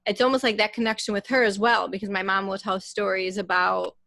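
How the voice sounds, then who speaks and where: A woman's voice: medium pitch, level tone, fairly quick, creaky, sharp tone, no room sound, clean studio sound. A woman hosting a casual video call interview, friendly and affirming as she asks her guest questions. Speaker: interview host.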